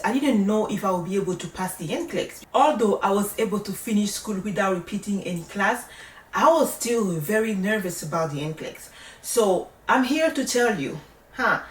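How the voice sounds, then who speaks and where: A woman with a French accent, a native French speaker, giving casual advice as a vlogger in a reassuring, emphatic way. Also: medium pitch. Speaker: vlogger, nursing study coach. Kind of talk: casual advice talk to camera. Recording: roomy echo, distant microphone.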